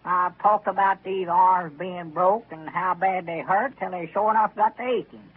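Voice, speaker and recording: An actor playing a whiny, sheepish old country fellow with a rural Southern US accent and a hesitant, folksy manner, in 1930s radio comedy style. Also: male voice, sits high, normal speed, slightly rough. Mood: complaining. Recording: tinny, narrow-band 1930s radio recording.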